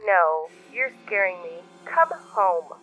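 A woman's voice: fairly low pitch, very animated, slow, a bit bright, soft background music, heavily acted. A woman playing a worried wife on a muffled phone. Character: caring, worried wife on the telephone. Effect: telephone filter. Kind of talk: scripted audio drama dialogue. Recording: dull, lo-fi telephone line sound.

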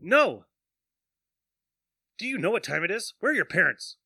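A man's voice: high-pitched, sing-song, normal speed, creaky, no echo, clean studio sound, heavily acted. A man acting a jittery, skeptical man who is spooked and agitated, in an amateur audio drama. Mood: alarmed. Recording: loud music over the voice.

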